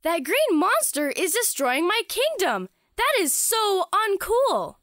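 A woman's voice: very high voice, big pitch swings, slow pace, fairly bright tone, dry recording, studio quality, full character voice. A woman voicing a bubbly, dramatic young princess who gushes perkily in a children's comic read-aloud. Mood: pleading. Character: bubbly, dramatic girl princess sending knights on quests.

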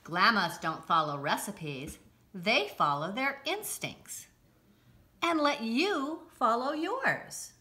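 Slow, very expressive woman reading aloud. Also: fairly high pitch. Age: middle-aged. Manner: expressive, deliberate storytelling with emphasis. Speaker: school read-aloud presenter. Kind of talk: children's picture book read-aloud. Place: indoors, home-like room with armchairs.

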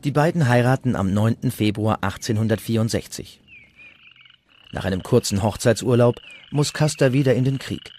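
A man's voice: mid-range voice, normal speed, a little mellow, slight background noise. A man narrating a historical documentary from a script. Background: music.

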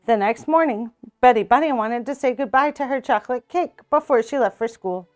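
A young woman reading a children's picture book aloud in a small indoor room, slow and expressive. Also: fairly high pitch, soft background music. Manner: slow, expressive read-aloud with character voices. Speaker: storytime reader.

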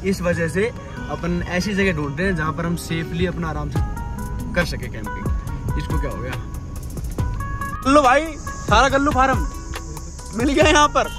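A young man, chatty and excited, narrating a travel vlog while driving mountain roads. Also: high voice, a little slow, pauses often. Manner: excited, chatty, calls viewers brother. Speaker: travel vlogger. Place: inside a car on mountain roads. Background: background music.

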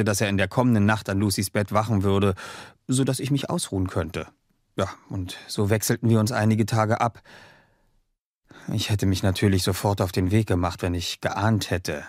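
A man playing a sober young doctor, narrating a gothic audio drama in a measured, serious way. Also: on the low side, a little mellow, no echo, clean studio sound, close to an everyday voice. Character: sober young male doctor recounting gothic horror events. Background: music.

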